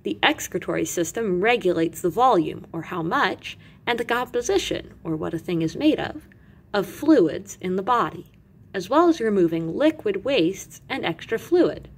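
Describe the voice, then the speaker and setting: Animated woman reading aloud, slightly husky. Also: mid-range voice, moderate pace. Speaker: teacher reading aloud to students. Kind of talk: expressive educational read-aloud.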